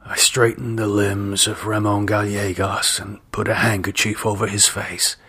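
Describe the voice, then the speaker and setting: A man's voice: fairly low pitch, level tone, moderate pace, sharp tone, breathy edge. A man narrating a scripted story as a dramatic audiobook reader.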